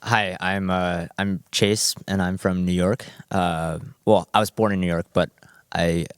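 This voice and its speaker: A man's voice: low voice, steady tone, measured pace, clear, resonant tone, no echo, clean studio sound. A man in his twenties from New Jersey, a cellist and music student, speaking earnestly in a radio interview with many uhs and ums. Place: radio performance studio.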